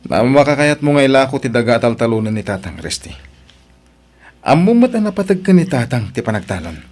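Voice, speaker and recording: A man's voice: mid-range voice, big pitch swings, brisk, darkish tone, faint music behind, natural voice. A man playing a stern elder brother who questions and confronts in a family radio melodrama. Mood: reproachful. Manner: stern, interrogating.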